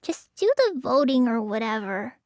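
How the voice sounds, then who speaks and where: A woman's voice: a little high, very animated, normal speed, darkish tone, no echo. A woman voicing a petite, stubborn teenage girl magician in an English anime game, with a languid, childlike, slightly pouty delivery. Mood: weary, defeated.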